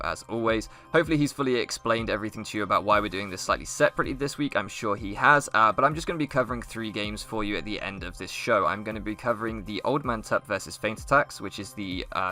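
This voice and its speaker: A man co-hosting a league recap, opening the show in an energetic presenter manner. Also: on the low side. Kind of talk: upbeat scripted-sounding show intro and recap.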